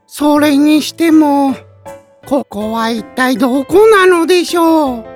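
A woman's voice: high-pitched, slow, faint music behind. A woman playing an eccentric, earnest young woman in an anime drama, with polite, quirky, dramatic declarations. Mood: earnest, wondering. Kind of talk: Japanese anime drama CD acting.

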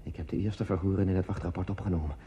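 An actor playing a lonely, war-worn border guard commander in a radio play, brooding and terse. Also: male voice, low voice, steady tone, rapid-fire, warm tone, muffled recording, natural voice. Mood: weary, resigned. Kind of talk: introspective radio play monologue.

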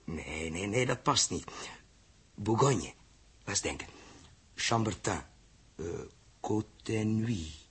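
An actor playing a troubled, indulgent man with a husky voice and a hesitant, musing manner, in 1960s Dutch radio drama style. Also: fairly low pitch, expressive, slow pace, long pauses, exaggerated voice. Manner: hesitant, musing, thinking aloud. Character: troubled, indulgent grown man humouring a little girl.

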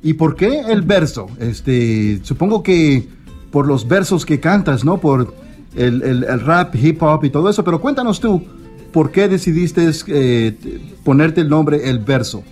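Male radio interviewer, slightly high voice, effusive and halting, music underneath. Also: animated, a little mellow. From born in El Salvador, raised in Canada from early childhood. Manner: effusive, hesitant, frequent tag questions. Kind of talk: casual radio interview in Spanish.

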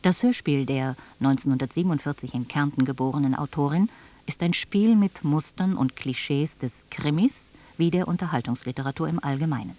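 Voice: mid-range voice, fairly quick, warm tone. A radio presenter reading a scripted introduction in a formal, literary manner.

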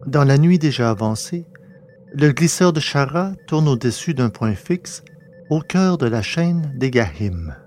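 A man hosting a podcast and narrating a story in a scripted, deliberate manner. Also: mid-range voice, lively intonation, darkish tone, faint music behind.

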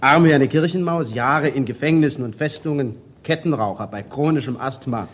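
Casting: male actor for amused, cynical bourgeois ex-radical, mid-pitched, expansive raconteur; 1970s radio play with slight background noise. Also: moderate pace, natural voice. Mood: animated, amused. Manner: sardonic, animated, expansive storyteller. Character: worldly, amused, cynical bourgeois ex-revolutionary man. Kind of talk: 1970s German radio drama acting.

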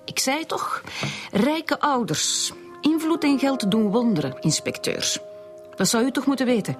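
A woman's voice: on the high side, lively intonation, measured pace, soft background music. A woman acting as a guarded, cynical Flemish maths teacher in a radio drama. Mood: sardonic. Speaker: actor in a radio serial.

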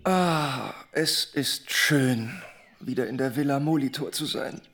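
A man acting in a German radio play as a boastful, jaunty gentleman with American affectations, pompous and teasing. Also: medium pitch, takes it slowly, soft background music. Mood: jaunty, self-important.

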